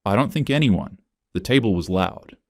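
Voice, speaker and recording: A man plays an upset woman from an office drama story. She speaks in a deep register, anxious and halting, as if confiding something that frightens her. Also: animated, dry recording, studio quality, lightly acted. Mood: uneasy, confiding. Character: upset, fearful young female office complainant.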